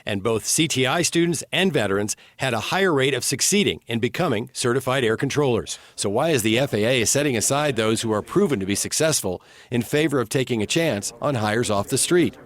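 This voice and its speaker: A man's voice: medium pitch, expressive, brisk, hardly any pauses. An American man working as an investigative TV reporter, reading a scripted news report narration.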